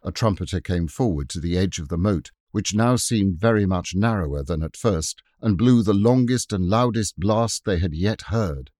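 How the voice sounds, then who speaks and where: A man reading a children's novel aloud in expressive, measured storytelling. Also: low voice, no room sound, studio quality. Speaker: audiobook reader. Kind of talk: scripted narration.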